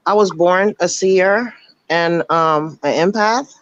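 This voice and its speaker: A woman calling into a live show, her voice raspy from just waking up, talking earnestly with halting restarts. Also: on the low side, dry recording. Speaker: caller. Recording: dull, dry call-in line.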